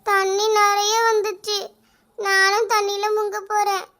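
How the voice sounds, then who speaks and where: A woman's voice: very high voice, monotone, unhurried, sharp tone, full character voice. A woman voicing a frightened little girl trapped by floodwater, tearful and pleading. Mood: scared, tearful.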